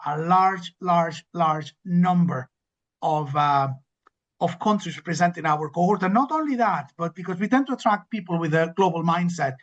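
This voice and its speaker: Academic director type, male, slightly high voice, emphatic and wordy, muffled call audio. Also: animated, normal speed. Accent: non-local, not from the host city. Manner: fluent, emphatic, with repeated words and restarts. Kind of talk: webinar information session answer.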